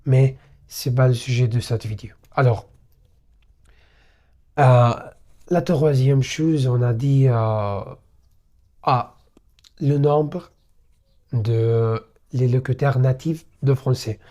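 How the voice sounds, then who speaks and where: Slow, hesitant Egyptian Arabic-accented man. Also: medium pitch, mellow. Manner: hesitant, many fillers and self-corrections. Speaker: vlogger learning French. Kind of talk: unscripted casual monologue to camera.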